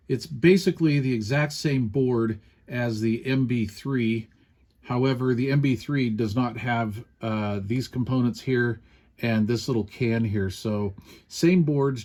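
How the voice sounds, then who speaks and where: Regular guy doing an off the cuff gear review, medium pitched voice, plain spoken and folksy. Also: a little mellow. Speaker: product reviewer. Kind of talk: casual hands-on review, unscripted.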